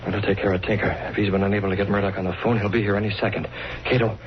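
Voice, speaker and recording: An actor playing a masked vigilante who talks like a menacing crook, clipped and taunting, in 1930s radio style. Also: male voice, fairly low pitch, little pitch movement, rapid-fire, lightly acted. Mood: cool, commanding. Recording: noisy, dull vintage radio recording.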